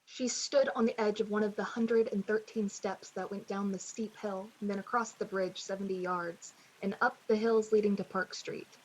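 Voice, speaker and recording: A woman playing the narrator of a suspense radio play, speaking in a measured, dramatic storytelling style with a slightly husky voice. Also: medium pitch, steady tone, close to an everyday voice, rough recording. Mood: ominous.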